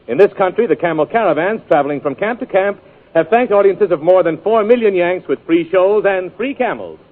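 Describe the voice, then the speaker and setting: A man announcing sponsor messages on a 1940s radio show with a smooth, polished sales delivery. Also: sits high. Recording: tinny 1940s radio broadcast.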